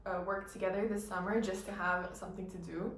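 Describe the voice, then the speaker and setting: Soft-spoken young woman in an echoey room. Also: mid-range voice, little pitch movement, a little fast, a little hiss or hum. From Turkey. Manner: thoughtful, with many fillers and restarts. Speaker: interior design student. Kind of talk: casual group interview. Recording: echoey room, distant mic.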